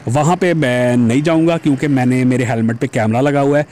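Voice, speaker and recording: A man's voice: medium pitch, fairly even intonation, brisk, darkish tone, slight background noise. A man chatting to viewers as he rides a motorbike through busy city streets, recorded on a helmet-mounted camera. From Pakistan. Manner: chatty, addresses viewers as "janab". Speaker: motovlogger. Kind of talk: casual commentary while riding. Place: outdoors on a busy city road, riding a motorbike.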